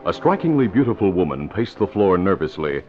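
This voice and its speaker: A man's voice: deep voice, very animated, fairly quick, soft background music. A man announcing and narrating in an emphatic, theatrical vintage radio style. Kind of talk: 1950s radio announcing, dramatic scripted narration. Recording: noisy, dull vintage radio recording.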